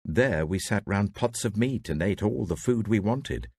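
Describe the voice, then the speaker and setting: A man's voice: on the low side, animated, measured pace, darkish tone, breathy edge, no room sound, exaggerated voice. A British man voicing hungry, bitter desert wanderers complaining in a scripture reading.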